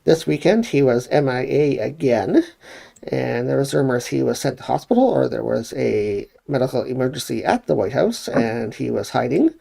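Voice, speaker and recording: A man with a heavily creaky, fried voice and a dark, relaxed tone. He co-hosts a live stream in a casual way, passing along questions from the chat. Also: mid-range voice, moderate pace. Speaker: co-host handling chat and callers.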